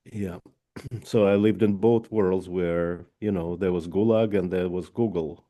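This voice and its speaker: A man with a very dark, mellow voice and a low pitch answers briefly over a video call. He has a dry, wry manner and jokes quietly. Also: fairly even intonation, a little slow. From Soviet Union. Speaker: author guest. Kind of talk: casual conversation.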